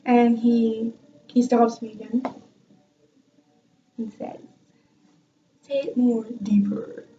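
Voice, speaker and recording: A young woman tells a story in a chatty, self-mocking way and laughs easily. Her voice sits fairly high and sounds mellow. The recording is dull and lo-fi, and she sounds a little far from the mic. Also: little pitch movement, slow pace, a little hiss or hum. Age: young adult. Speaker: vlogger and music student. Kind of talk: casual storytelling vlog.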